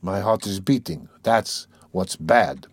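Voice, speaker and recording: A man's voice: sits low, animated, takes it slowly, no echo, full character voice. Man acting a sardonic, restless young man. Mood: anxious, brooding. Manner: sardonic, brooding, teasing. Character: irritable, scheming young former law student, mocking and anxious. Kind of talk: dramatised audiobook reading of a classic novel.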